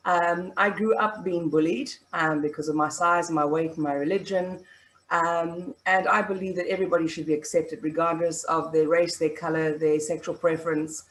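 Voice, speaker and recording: A woman's voice: lowish voice, fairly even intonation, normal speed. Sincere South African woman, echoey room. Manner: sincere, hesitant with many ums. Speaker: panelist. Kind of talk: video panel introduction.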